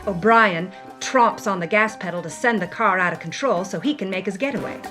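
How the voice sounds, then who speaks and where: A woman's voice: mid-range voice, brisk, close to an everyday voice. Actress playing a hard-boiled woman adventurer over music. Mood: tense, wry. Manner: hard-boiled, vivid storytelling. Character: Minx, defiant worldly woman on the run, telling her story. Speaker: actress narrating as a character. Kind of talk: dramatic first-person scripted narration.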